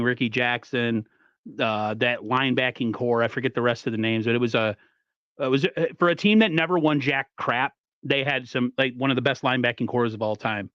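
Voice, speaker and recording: A man in his forties co-hosts a casual sports chat with a fairly average pitch. He rambles and jokes in loose slang. The audio is dry and a little dull. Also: steady tone, moderate pace, fairly bright tone, clean tone, dry recording. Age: 40s. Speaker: sports show co-host.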